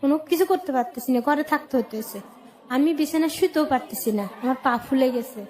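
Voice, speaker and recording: A woman's voice: high-pitched, a little fast, darkish tone. A woman with a Bangladeshi accent telling her personal life story as a radio guest, in plain colloquial short phrases.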